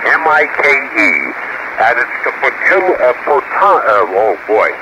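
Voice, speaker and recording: A man working as an amateur radio operator, talking in halting, folksy procedural style over a 1970s shortwave transmission. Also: mid-range voice, animated, very noisy. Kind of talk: radio contact, procedural chat with phonetic call signs. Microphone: ham radio microphone.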